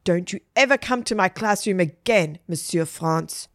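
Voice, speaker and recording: A woman's voice: fairly low pitch, normal speed, no echo, studio quality, natural voice. A woman voicing an intense young schoolgirl poet in a story, dramatic, easily offended and vengeful. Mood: vengeful, dark. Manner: dramatic, indignant.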